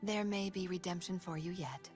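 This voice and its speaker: A woman voicing a gentle, compassionate celestial healer in a video game, soft-spoken and serene. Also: lowish voice, steady tone, normal speed, music in the background, close to an everyday voice. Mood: kind, hopeful. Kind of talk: video game character voice lines.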